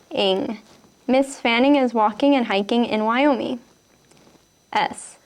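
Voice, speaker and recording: A woman's voice: on the high side, darkish tone. A 19-year-old woman with a Wisconsin accent, reading a word list and then answering interview questions hesitantly, with many fillers. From Kenosha, Wisconsin.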